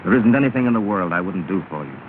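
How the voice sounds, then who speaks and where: A proud, touchy suitor, low-voiced. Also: male voice, lively intonation, fast. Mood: earnest. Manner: earnest with her, curt and sarcastic with rivals. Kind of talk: 1930 early sound film acting. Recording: scratchy, tinny 1930 film soundtrack with hiss.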